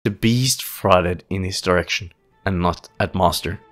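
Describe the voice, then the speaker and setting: Casting: male narrator, very low voice, dramatic fantasy audio drama delivery over clear music. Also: measured pace, fairly bright tone. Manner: dramatic storytelling.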